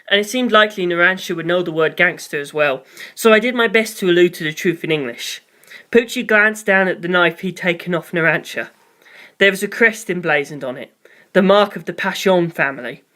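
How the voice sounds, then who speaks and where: A man's voice: high-pitched, bright, forceful tone. Man narrating a first-person story.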